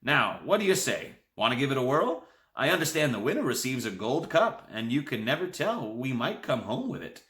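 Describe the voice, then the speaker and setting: A man voicing an excitable old grandfather who runs a country store, talking in an emphatic folksy way. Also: mid-range voice, moderate pace, echoey room, voice pushed up. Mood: earnest.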